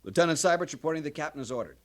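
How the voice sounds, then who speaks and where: An actor playing a young, green lieutenant, stiff, formal and subdued, in 1950s radio western style. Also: male voice, on the high side, animated, rapid-fire, natural voice.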